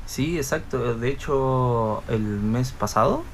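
A man's voice: medium pitch, slow, low-quality recording. A man speaking conversationally as a guest in a radio interview. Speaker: municipal youth office worker, guest. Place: radio studio. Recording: faint background noise.

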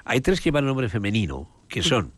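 A man's voice: mid-range voice, sing-song, moderate pace, fairly bright tone, slightly rough, no echo. A man, a priest, talking casually about women in the Gospels, rambling and often searching for names. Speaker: priest guest. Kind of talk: casual religious talk on a show.

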